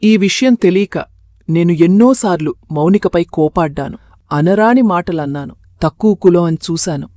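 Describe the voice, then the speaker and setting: A woman's voice: lowish voice, moderate pace, dark tone, no echo, clean studio sound, natural voice. A woman voicing a remorseful mother-in-law in a cartoon family drama.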